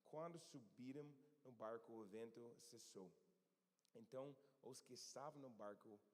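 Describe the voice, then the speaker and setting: A man preaching a sermon in a church and reading scripture aloud, often pausing to search for words and correct himself. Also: mid-range voice, a little mellow. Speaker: pastor. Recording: long church hall reverb.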